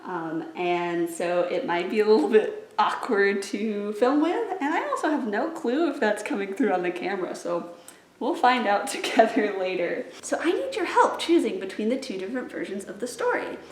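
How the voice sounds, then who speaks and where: A woman's voice: mid-range voice, sing-song, moderate pace. A woman hosting a children's storytime vlog, chatting to viewers in an upbeat, enthusiastic way. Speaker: children's library storytime host. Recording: roomy, echoey indoor sound.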